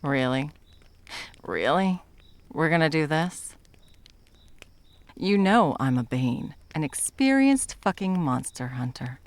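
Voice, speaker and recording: A woman's voice: low voice, expressive, slow pace, pauses often, exaggerated voice. A woman playing a bitter, sarcastic monster hunter and betrayed lover in a dramatic ASMR roleplay, mocking and foul-mouthed. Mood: angry, exasperated.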